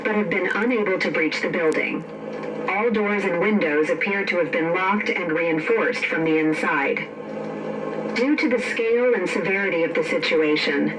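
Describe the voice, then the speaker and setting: A man playing a grave television news anchor, reading breaking news in a measured, formal way in an analog horror drama. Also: high-pitched, lightly acted. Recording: noisy, distant television broadcast sound.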